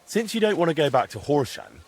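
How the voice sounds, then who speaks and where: An actor playing a helpful young blacksmith in a dramatic game, speaking in an earnest, plain way. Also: male voice, on the high side, very animated, brisk, slightly rough, heavily acted. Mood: friendly, encouraging.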